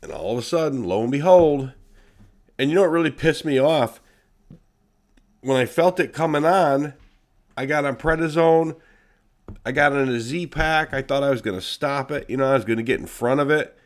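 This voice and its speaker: A man's voice: medium pitch, normal speed, pauses often. A man hosting his own podcast, talking casually and bluntly with a congested, nasal voice and lots of swearing. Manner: blunt, profane, animated. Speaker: podcast host. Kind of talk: casual solo podcast monologue.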